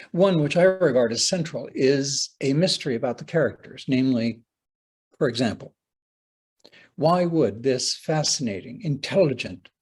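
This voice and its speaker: Male writer-lecturer, medium pitch, raspy edge, literary and theatrical delivery with weighted pauses. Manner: theatrical, deliberate phrasing with pauses for emphasis; formal, literary wording. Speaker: writer giving a conference talk. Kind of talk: prepared lecture read from a script. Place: online talk over video call.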